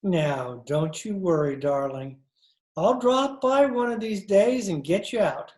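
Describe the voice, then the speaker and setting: A man's voice: sits high, expressive, slow pace, a little mellow. A man playing a groggy, sardonic fiancé in an old-time radio comedy-mystery, drawling and groaning. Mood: smugly teasing. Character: groggy, wry fiancé of a lady reporter.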